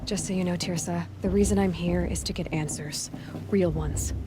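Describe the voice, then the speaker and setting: An actress playing a young outcast huntress in a video game, guarded and determined, speaking in a direct, dry, probing way. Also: female voice, lowish voice, fairly even intonation, normal speed, noisy background, close to an everyday voice. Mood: insistent, frustrated. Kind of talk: naturalistic video game acting.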